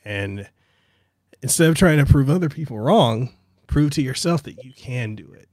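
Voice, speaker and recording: A man hosting a podcast interview as a games journalist, who talks in an earnest, halting way with many "you know" fillers. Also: medium pitch, animated, darkish tone, no echo, studio quality.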